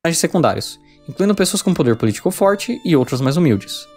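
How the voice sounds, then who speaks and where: A man's voice: fairly high pitch, sing-song, fast talker, darkish tone, breathy edge, faint music behind. A man with a Brazilian accent reading a scripted video review.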